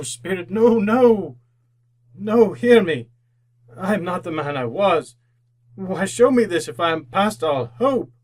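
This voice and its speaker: An old miser from a classic radio play. His voice is high for a man and he takes his time over the words. The delivery is theatrical, fervent and full of exclamation. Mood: desperate pleading. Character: old repentant miser, fearful then overjoyed.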